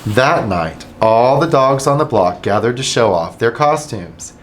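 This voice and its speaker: A middle-aged man, a school administrator, reading a picture book aloud to children in an animated, expressive way. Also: mid-range voice, a little slow, slight background noise.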